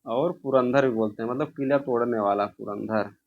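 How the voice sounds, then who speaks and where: Male exam-prep teacher, mid-pitched, informal question-and-answer delivery with repeated key points, muffled lo-fi recording. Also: normal speed. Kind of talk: informal quiz-review lecture, question then answer. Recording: dull, lo-fi sound.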